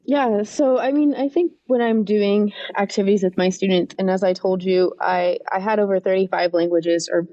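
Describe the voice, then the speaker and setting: A woman who coordinates English learner programs, talking through classroom advice in a relaxed, thoughtful way with frequent restarts. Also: mid-range voice, normal speed, hardly any pauses, muffled recording. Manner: thoughtful, restarts often, many "um" and "right?". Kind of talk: casual podcast interview.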